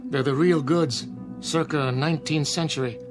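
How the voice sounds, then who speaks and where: A man's voice: on the high side, fairly even intonation, music in the background, close to an everyday voice. Man acting a wry old country doctor. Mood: wry, thoughtful. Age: older. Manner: wry, folksy, measured. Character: old small-town doctor, wry and unhurried. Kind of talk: radio drama acting.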